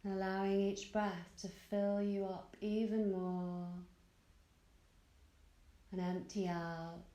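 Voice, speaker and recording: A woman yoga teacher leading a guided meditation in a gentle, soothing manner, leaving long pauses between phrases. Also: medium pitch, flat delivery, reverberant, poor audio. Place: indoor room at a retreat.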